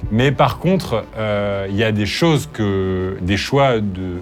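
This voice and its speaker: A man's voice: lowish voice, lively intonation, slow, over music. A man hosting his video channel, answering questions in a candid, hesitant way and sounding a bit nervous. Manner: hesitant, candid, many fillers and restarts. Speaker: youtuber host. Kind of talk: casual talk, answering interview questions.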